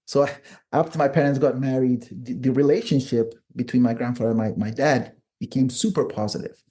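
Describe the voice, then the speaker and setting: Man, middle-aged, relaxed warm timbre, thoughtful conversational guest who restarts and rewords his points. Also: medium pitch, brisk. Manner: thoughtful, repeats and rephrases phrases. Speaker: podcast guest, author and psychiatrist. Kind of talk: reflective interview conversation. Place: remote online call.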